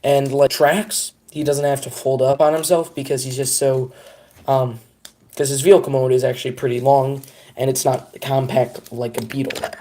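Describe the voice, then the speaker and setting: A man's voice: mid-range voice, level tone, moderate pace, dark tone, slight background noise. A young man chatting casually on a live stream, rambling and self-deprecating as he talks to his viewers.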